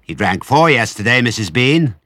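A man voicing a huge, brusque servant woman who shouts her words, in a storybook. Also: mid-range voice, big pitch swings, moderate pace, no room sound, clean studio sound, pitched above the performer's own voice. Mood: shouting. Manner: shouting, calling out.

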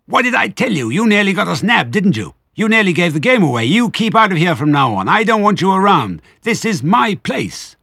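A man's voice: sits high, big pitch swings, a little fast, gravelly, hardly any pauses, sharp tone, slightly rough, dry recording, clean studio sound, voice pushed up, heavily acted. A man voicing an indignant male rat who scolds and shrieks in a children's storybook. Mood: scolding, shrill. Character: shrieking, indignant male rat guarding his cider cellar.

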